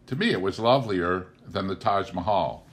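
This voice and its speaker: Middle-aged male book narrator, slightly low register, unhurried scripted storytelling. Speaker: audiobook reader.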